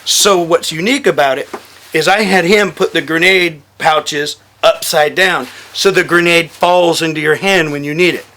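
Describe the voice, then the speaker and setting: A man telling casual war stories as a military veteran, animated and swearing freely, acting out quoted dialogue. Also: fairly high pitch, moderate pace. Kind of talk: casual storytelling, profane.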